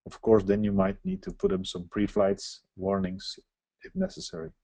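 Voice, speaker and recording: Low-voiced man demoing software. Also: sing-song, moderate pace, gravelly, no room sound. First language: Dutch. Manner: explanatory, walks through on-screen steps. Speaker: software presenter. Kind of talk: live software demo webinar.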